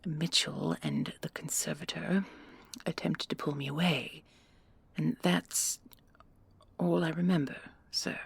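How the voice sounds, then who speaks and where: A woman playing a shaken, deferential commandant in an audio drama, halting, formal and apologetic, in a breathy, husky voice. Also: low-pitched, no echo, full character voice. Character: shaken, deferential female military commandant under interrogation. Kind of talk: audio drama roleplay acting.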